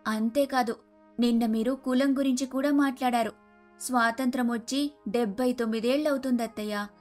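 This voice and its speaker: A woman playing an outspoken, principled young daughter-in-law in a Telugu animated moral story, speaking in an earnest, preachy way. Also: a little high, normal speed, lightly acted. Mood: earnest, lecturing. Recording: music under the voice.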